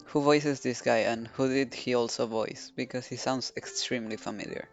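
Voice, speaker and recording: A woman streaming a video game, giving casual, low-key commentary and reactions. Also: fairly even intonation, normal speed, highs cut off, soft background music.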